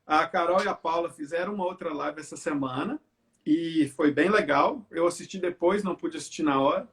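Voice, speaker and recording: A man's voice: a little high, a little fast, fairly bright tone. A man from Belo Horizonte, Brazil, hosting a casual live video chat from home, talking in a loose, chatty way. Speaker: live stream host. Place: at home by a window. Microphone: video call.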